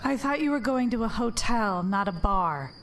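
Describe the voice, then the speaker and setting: A woman's voice: a little high, expressive, moderate pace, a little hiss or hum. An actress playing a guarded, weary but firm woman in a naturalistic audio drama, with a curt, dry manner. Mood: exasperated, firm.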